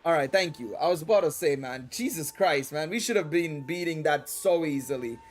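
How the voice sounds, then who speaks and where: Higher-voiced streamer guy, shifting Indian English accent. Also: normal speed. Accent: shifting Indian English with British and American touches. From Dubai and India. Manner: rambling, slangy, chatty. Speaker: live streamer. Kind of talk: casual livestream chat during gameplay. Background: music.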